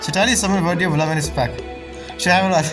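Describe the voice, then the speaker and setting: Low-voiced woman reacting to a game. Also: fast talker. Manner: repetitive short amused exclamations. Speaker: game streamer reacting to story. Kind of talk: casual reaction commentary. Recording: game music behind the voice.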